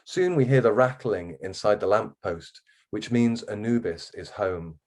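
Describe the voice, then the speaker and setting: Male author, lower-pitched voice, expressive reader of first-person fiction. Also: unhurried. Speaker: guest author reading from a novel.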